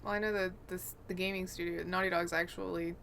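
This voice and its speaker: A woman's voice: lowish voice, level tone, brisk, creaky, fairly bright tone. A woman hosting a podcast in casual, opinionated chat, talking in an exasperated way and acting out imagined remarks. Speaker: podcast host.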